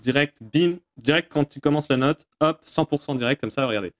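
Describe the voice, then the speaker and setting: A man's voice: a little high, fairly quick. Casual, hesitant man giving a demo. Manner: informal, hesitant, self-deprecating. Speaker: tutorial presenter answering viewer questions. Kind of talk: casual software demo with off-the-cuff explanation.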